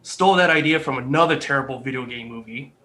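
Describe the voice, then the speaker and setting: Bright, sarcastic male panelist. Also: mid-range voice, fast. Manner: sarcastic, trivia-heavy storytelling. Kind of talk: casual panel chat.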